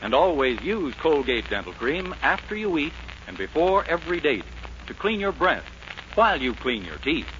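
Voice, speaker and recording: Lively 1940s radio announcer, a man. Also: a little high, moderate pace, a little hiss or hum. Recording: muffled 1940s radio recording.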